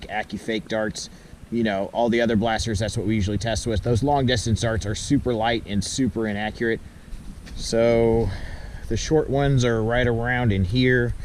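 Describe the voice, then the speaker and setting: Plainspoken man with dark, low-key voice outdoors. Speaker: toy blaster reviewer. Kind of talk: casual product review and testing. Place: outdoors in a yard by a pond. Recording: faint outdoor background noise.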